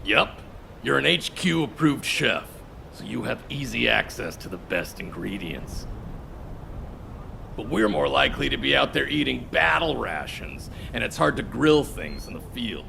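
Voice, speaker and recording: An actor playing a boisterous, eager soldier who loves food, in a video game, hearty and enthusiastic. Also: male voice, medium pitch, sing-song, a little slow, vocal fry, noisy background, heavily acted.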